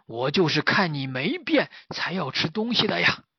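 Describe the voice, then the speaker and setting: A storyteller voicing a hungry, boastful old martial arts master in a children's story, with a high, strained voice. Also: very animated, normal speed, gravelly, slightly rough, no echo, lo-fi sound, full character voice. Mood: grumbling.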